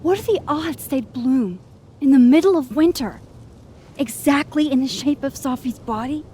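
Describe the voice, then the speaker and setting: Woman playing earnest young woman, higher-pitched. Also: dark tone, a little hiss or hum, lightly acted. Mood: urgent, pleading. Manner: halting, earnest, pleading. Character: earnest, anxious young woman with secret timeline-hopping power. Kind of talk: naturalistic video game drama acting.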